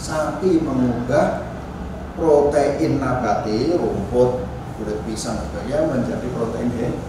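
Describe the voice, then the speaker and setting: Echoey, distant male lecturer. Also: mid-range voice. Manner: explanatory, deliberate phrasing with frequent check-in tags. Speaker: university anthropology lecturer. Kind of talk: informal academic lecture. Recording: echoey room, distant mic, noisy.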